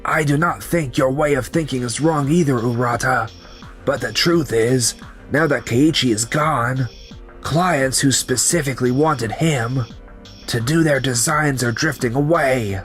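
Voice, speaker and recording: Here is a man performing a young company man in a dramatized manga story. He explains things in scripted, conversational lines at a middle pitch. Music plays behind the voice. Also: unhurried, fairly bright tone, lightly acted. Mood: earnest, explanatory. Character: betrayed young company founder, calm and resigned, and his coworkers. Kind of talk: manga dub acting and narration. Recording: music under the voice.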